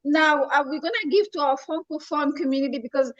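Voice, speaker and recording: A woman's voice: high voice, normal speed, dull audio. A woman hosting a live show, talking with enthusiasm and mixing English with French. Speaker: show host.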